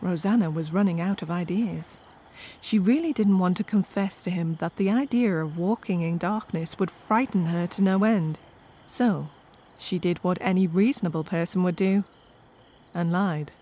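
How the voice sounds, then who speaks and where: A woman storyteller with a slightly low voice. She narrates a scripted comedy tale in a wry way, slipping conversational asides to the listener. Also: moderate pace, warm tone.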